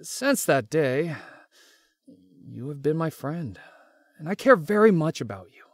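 An actor voicing a devoted, earnest young man in an anime-style romance game, speaking haltingly. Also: a little high, very animated, no room sound, clean studio sound, exaggerated voice. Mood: earnest, confessing. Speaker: voice actor in a visual novel.

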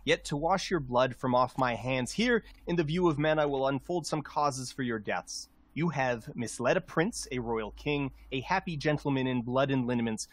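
A man's voice: mid-range voice, normal speed, few pauses, natural voice. A man playing a proud, aggrieved rebel nobleman, delivering verse in a formal, declamatory manner. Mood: stern accusation.

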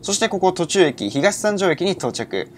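A man's voice: sits high, very animated, moderate pace. A man in his early twenties narrating a railway vlog with detailed, informative explanations. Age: early 20s. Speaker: railway vlogger. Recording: loud train and station noise.

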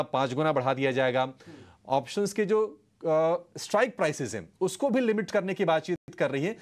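A male news anchor in a studio, summing up a news item in measured tones before putting a question to his guest. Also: fairly high pitch. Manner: measured, summarizing before asking.

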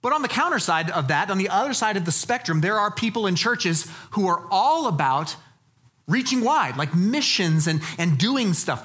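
A man's voice: sits high, a bit bright. An American man in his forties preaching a conversational sermon, emphatic and folksy, repeating phrases for weight. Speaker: pastor. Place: church stage, indoors.

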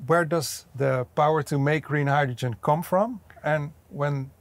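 Male interviewer in his thirties, gravelly, dry sound, friendly questions. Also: a little high, measured pace, no echo. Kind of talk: outdoor interview. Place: outdoors at an industrial port site. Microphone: lapel.

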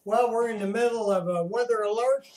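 A man with an American accent giving casual, halting live commentary as he watches and narrates a screen. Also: high-pitched, darkish tone. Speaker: amateur storm-watcher narrating a screen recording. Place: indoors at home by a computer. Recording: roomy echo.

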